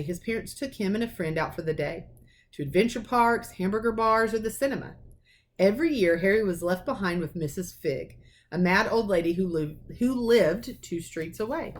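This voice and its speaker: A woman reading a children's novel aloud as a teacher would, in an expressive storytelling manner. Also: medium pitch. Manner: animated, acts out dialogue.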